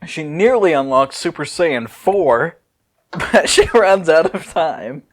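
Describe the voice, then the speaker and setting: A man's voice: a little high, lively intonation, slow pace. A man reading a fan fiction outline aloud as a podcast host, breaking off often to comment and joke.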